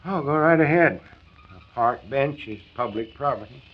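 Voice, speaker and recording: A man's voice: mid-range voice, animated, normal speed, natural voice. An actor playing an ailing, middle-aged former salesman, folksy, wry and resigned, in 1940s radio drama style. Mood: affable. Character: ailing, discouraged former salesman in his early fifties. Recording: dull, band-limited 1940s radio transcription.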